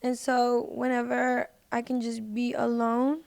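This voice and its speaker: A woman's voice: on the high side, monotone, a little slow, creaky, a little mellow. A woman guest on a podcast, chatting casually and informally, with lots of "like" and "you know". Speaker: podcast guest, singer and social media personality. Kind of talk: casual chat.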